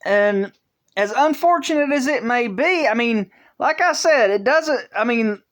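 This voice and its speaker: A vlog host giving casual opinion commentary, talking in a halting way, restarting phrases and filling pauses, in an earnest, conversational tone. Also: medium pitch, animated, fairly bright tone. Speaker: channel host.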